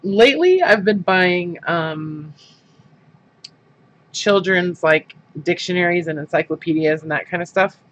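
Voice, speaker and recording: A man hosting a crafting livestream talks in an unhurried, rambling way, full of ums and restarts. His voice sits a little high and sounds bright and forceful, with a stuffed-up nose. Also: lo-fi sound. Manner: rambling, many fillers and restarts. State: stuffed-up sinuses. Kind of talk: casual livestream chat while crafting.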